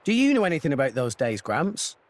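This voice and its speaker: Eager young hero. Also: male voice, fairly high pitch, wildly swooping pitch, fairly quick. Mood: earnest. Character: eager young male hero, earnest and blunt.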